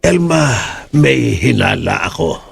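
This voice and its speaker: A concerned older father, acted by a man in a horror audio drama. His voice is raspy and full of creak, his manner gentle and serious. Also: mid-range voice, big pitch swings, slow pace, full character voice. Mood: suspicious. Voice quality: gravelly, raspy.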